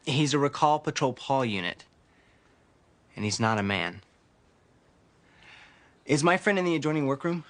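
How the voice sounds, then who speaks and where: A courteous male android, endlessly curious, asking about everything in tidy, formal sentences, played by a man. The pitch sits in an everyday middle range. It comes from a TV series. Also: animated, moderate pace, pauses often, fairly bright tone, natural voice. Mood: calm, curious. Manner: formal, precise, inquisitive phrasing. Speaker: actor in a series clip.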